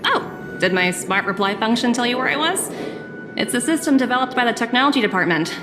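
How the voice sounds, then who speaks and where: A woman's voice: on the high side, expressive, close to an everyday voice. Woman acting a poised young executive, music behind. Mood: breezy, polite. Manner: polite, measured, articulate. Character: poised young female corporate executive, cultured and businesslike. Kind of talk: video game voice acting.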